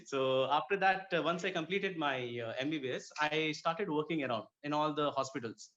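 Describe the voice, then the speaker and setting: A man's voice: a little high, moderate pace, fairly bright tone. A man from Kuwait speaking conversationally as a dermatologist guest in an interview. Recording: dull lo-fi audio.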